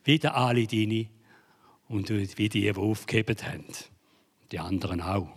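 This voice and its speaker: Slow, emphatic preacher. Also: male voice, fairly high pitch. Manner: lively, jokey preaching with dramatic emphasis. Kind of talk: sermon. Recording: reverberant hall.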